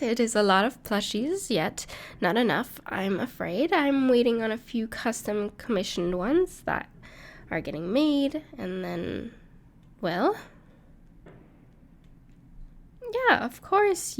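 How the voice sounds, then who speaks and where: Soft-hearted female dragon companion played by a woman, higher-pitched with noticeable fry, tender and playful, for intimate roleplay audio. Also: very animated, unhurried. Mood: proud, delighted. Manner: tender, reassuring, playful, slightly hesitant. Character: gentle, cuddly, bashful female dragon in human form, plushie lover.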